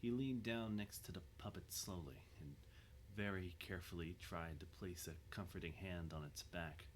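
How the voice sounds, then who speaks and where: Low-voiced male drama narrator. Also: normal speed, a little hiss or hum.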